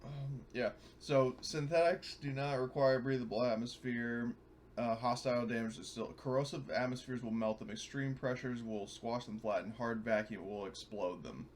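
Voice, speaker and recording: A man talks casually as a tabletop game player, swearing here and there while he works through the rules. His voice is mid-pitched, with faint noise behind it. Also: steady tone, normal speed. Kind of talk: casual game-session chat, checking rulebook.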